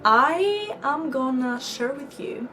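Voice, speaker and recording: Slow-talking young Greek woman vlogger. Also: high voice, a little hiss or hum. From Greece. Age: young adult. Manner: chatty, giggly, animated quoting of herself. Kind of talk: casual storytime chat to camera.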